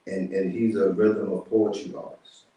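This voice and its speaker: A man's voice: deep voice, flat delivery, moderate pace, dark tone. A man hosting a casual interview show, easygoing and calling people "man". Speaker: show host. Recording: roomy, mic at a distance.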